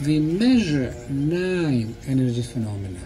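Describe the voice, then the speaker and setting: A man lecturing in short, emphatic phrases, pausing for an interpreter, with a deliberate, didactic manner. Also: medium pitch, sing-song, warm tone, faint music behind, rough recording. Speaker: lecturer and guide.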